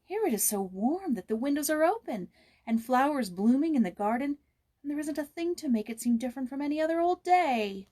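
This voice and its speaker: A woman voicing a plaintive, eager young American girl from a storybook, expressive and emphatic as she speaks. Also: sits high, brisk, voice pushed up. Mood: plaintive grumbling.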